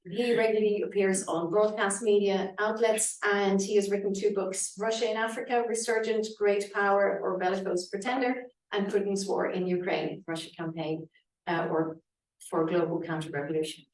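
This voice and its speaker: A woman chairing a conference, introducing the speakers with many pauses and fillers. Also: medium pitch, steady tone, far from the mic. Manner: halting, many fillers, reads bios. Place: conference hall. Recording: echoey hall from afar.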